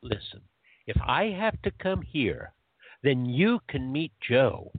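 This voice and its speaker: A man playing a grumpy, sarcastic time-travel agent, gruff and blunt, in a radio play. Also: medium pitch, very animated, slow pace, dry recording, phone-quality sound, full character voice. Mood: impatient.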